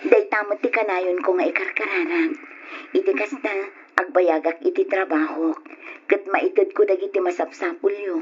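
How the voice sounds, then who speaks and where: A woman's voice: on the low side, steady tone, moderate pace, slight background noise, lightly acted. A woman playing an earnest working mother. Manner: earnest, hopeful. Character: devoted mother leaving to work abroad. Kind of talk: old radio drama acting. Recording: muffled old radio recording.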